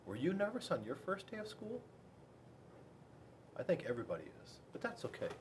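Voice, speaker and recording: An American man reading a picture book aloud to children, playful and rambling, with dramatic pauses and chatty asides. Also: high voice, huge pitch swings, a little hiss or hum. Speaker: school resource police officer reading to children.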